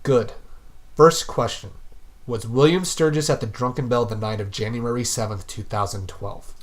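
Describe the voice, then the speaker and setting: A man's voice: medium pitch, moderate pace, lightly acted. A man playing a relentless, short-tempered police detective interrogating a suspect in an audio drama, with a pointed, pressing manner. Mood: stern, methodical. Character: relentless male police detective, accusing, quick to anger.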